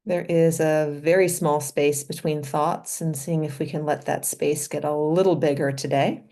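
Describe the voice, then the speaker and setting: A woman leading a guided meditation in a gentle, unhurried manner, with pauses between short phrases. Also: low voice, steady tone. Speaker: meditation leader and physician.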